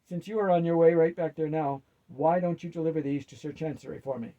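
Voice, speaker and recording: A man voicing the commanding boss of the undead, with loud, authoritative, deliberate pronouncements. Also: fairly high pitch, muffled recording, close to an everyday voice. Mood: brisk.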